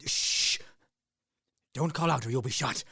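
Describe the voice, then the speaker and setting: A man acting in a radio play as a desperate fugitive soldier, brisk, commanding and sardonic. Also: medium pitch, vocal fry, bright, forceful tone, husky, exaggerated voice. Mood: tense, threatening. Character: fugitive Serbian soldier, cynical, desperate, coolly threatening. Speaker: actor in a student radio play.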